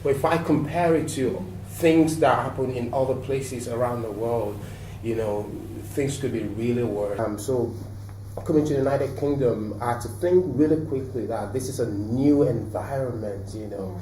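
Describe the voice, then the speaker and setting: A man from Nigeria, a guest on a talk show, telling stories in a relaxed, reflective way with many fillers. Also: mid-range voice, normal speed. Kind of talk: interview answers. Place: TV studio. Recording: roomy echo, mic at a distance, faint noise.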